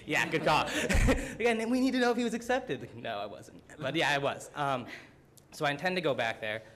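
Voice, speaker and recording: A man's voice: on the high side, very animated, brisk, a bit bright. A young man, a student presenter, telling humorous stories at a symposium in a casual way, full of "like" fillers. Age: young adult. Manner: casual, many "like" fillers, laughing. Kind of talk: humorous storytelling talk. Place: college symposium room.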